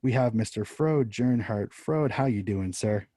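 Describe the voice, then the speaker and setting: Male podcast host, low warm voice, dry close sound, upbeat, repeats himself starting questions. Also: unhurried, breathy edge, no echo. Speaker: interview host. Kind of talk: podcast interview.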